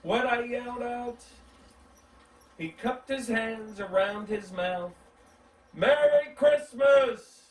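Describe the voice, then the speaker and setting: A man reading a children's story aloud in an animated, dramatic, drawn-out way. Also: sits high. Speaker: story reader. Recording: roomy, distant mic, background noise.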